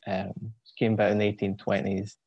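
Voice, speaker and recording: A young man in his twenties with a Scottish accent, a guest on an interview, explaining things thoughtfully with frequent pauses and ums. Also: on the low side, completely flat delivery, vocal fry, dry recording, highs cut off. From Scotland. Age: 20s. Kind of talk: casual explanatory talk.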